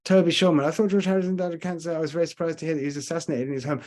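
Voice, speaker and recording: A man's voice: fairly high pitch, fairly even intonation, rapid-fire, darkish tone. A man with a London accent and a slight Scottish trace, giving a casual online tour lecture and answering questions, hesitant and full of fillers, on a computer mic. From Scotland and London. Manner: chatty, hesitant with many fillers. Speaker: tour guide. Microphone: computer mic on video call.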